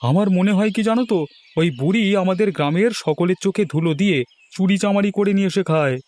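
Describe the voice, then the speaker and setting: A man's voice: high voice, fast talker, a little mellow, natural voice. A man voicing a suspicious, complaining younger village farmhand in a cartoon, speaking emphatically. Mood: grumbling, suspicious. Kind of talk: cartoon voice acting.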